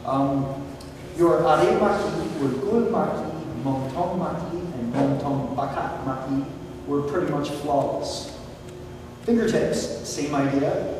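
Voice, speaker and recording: A man with a big voice that carries, working through a student's test point by point in a measured, encouraging way. He sounds distant from the mic, and a long, booming reverb from a large hall fills in behind his words. There is steady room noise underneath. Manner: big projecting voice, methodical and encouraging. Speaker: martial arts instructor. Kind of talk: spoken feedback at a belt test. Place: large echoing training hall. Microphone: distant camera mic. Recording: echoey hall recorded from far off.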